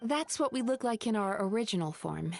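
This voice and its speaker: A woman's voice: medium pitch, lively intonation, a little fast, creaky, soft background music. A woman voicing a polite, earnest young female dream-eating spirit in a video game. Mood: explaining.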